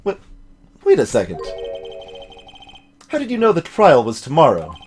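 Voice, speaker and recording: A man's voice: fairly high pitch, huge pitch swings, moderate pace, soft background music. A man voicing a timid, earnest young male rookie lawyer in a video game, with a hesitant delivery. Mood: puzzled. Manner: hesitant, stammering, muted inner asides.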